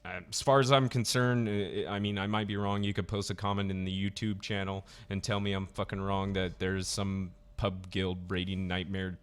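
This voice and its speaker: A man's voice: low voice, little pitch movement, moderate pace, hardly any pauses, smooth. Rambling man hosting a voice chat. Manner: rambling, many fillers and restarts. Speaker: stream host. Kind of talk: casual voice chat.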